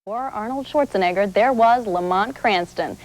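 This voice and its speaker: A woman's voice: mid-range voice, moderate pace, a little hiss or hum, rough recording. A woman reading scripted promotional narration as an entertainment news reporter.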